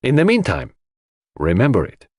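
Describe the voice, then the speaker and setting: A man's voice: medium pitch, huge pitch swings, moderate pace, darkish tone, no echo, studio quality, pitched above the performer's own voice. A man voicing a fussy, shrewd older detective, precise and theatrical in an audiobook. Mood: deliberate, shrewd. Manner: precise, theatrical, formal phrasing. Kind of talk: audiobook character acting.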